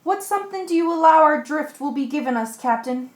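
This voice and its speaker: A woman's voice: high-pitched, animated, brisk. A woman playing a grim female ship's mate in an amateur radio play. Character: ship's female mate, grimly facing danger. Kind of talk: amateur radio drama acting. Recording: echoey room.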